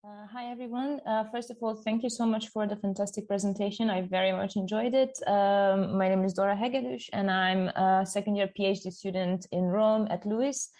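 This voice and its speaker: A young woman speaks with a Hungarian accent at a middling pitch. She sets out questions at an academic seminar, courteous and a little halting as she orders her points. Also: fairly even intonation, a little mellow. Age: young adult. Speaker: audience questioner, PhD student.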